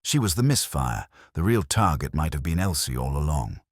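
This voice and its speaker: A man voicing a polite, quietly witty police inspector with a measured, unassuming manner in a mystery story. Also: rumbling low, very animated, dry recording, studio quality, exaggerated voice. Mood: thoughtful.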